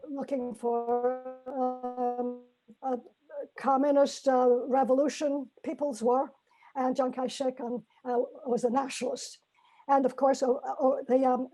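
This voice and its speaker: Older woman, high voice, warm mellow tone, reflective storytelling, frequent ums, video call mic. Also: rough recording. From China. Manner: gentle, many fillers and restarts. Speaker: professor giving a personal talk.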